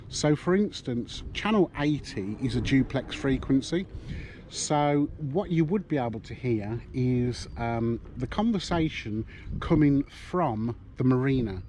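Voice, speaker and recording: A man casually explaining a handheld marine radio, talking in a relaxed, halting way with many ums. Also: mid-range voice. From United Kingdom. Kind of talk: casual product demonstration. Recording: loud background noise.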